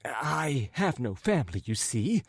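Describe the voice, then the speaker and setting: A man voicing a muddled, apologetic middle-aged gentleman in a story, agitated and wordy, stumbling over and correcting himself. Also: mid-range voice, very animated, measured pace, vocal fry, no room sound, clean studio sound, voice pushed up, exaggerated voice. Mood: flustered, pleading.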